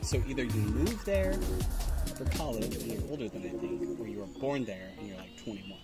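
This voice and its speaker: Man, 30s, vlogger; playful teasing, lively pitch, talking outdoors over background music. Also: brisk. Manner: teasing, animated, talks with his hands. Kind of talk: casual chat. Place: outdoor campsite picnic table. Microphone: camera on a tripod at the table.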